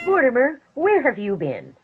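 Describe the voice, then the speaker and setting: A woman's voice: high-pitched, wildly swooping pitch, normal speed, faint music behind. A woman playing a prim, indignant elderly aunt in a radio play, matter-of-fact and proper. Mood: scolding. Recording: thin, phone-like sound.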